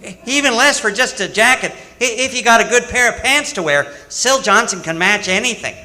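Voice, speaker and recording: A man's voice: high-pitched, animated, brisk, bright, forceful tone, heavily acted. A man playing an eager schoolboy who stutters over his first words in an old-time radio commercial skit. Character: eager, stammering schoolboy pal pitching a sale.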